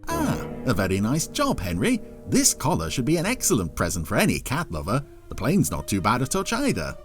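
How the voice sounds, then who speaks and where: A man's voice: mid-range voice, wildly swooping pitch, fairly quick, faint music behind. A man voicing a smug, gloating financier and industrial spy in an audio drama. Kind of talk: audio drama character acting.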